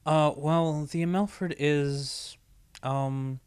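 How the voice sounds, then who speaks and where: A man's voice: fairly high pitch, fairly even intonation, takes it slowly, a little mellow, clear, resonant tone, no echo. A man voicing a brash, impatient female pegasus pony who shows off in casual, boastful talk in a storybook reading. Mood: flustered. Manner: casual, boastful rattling off of jargon.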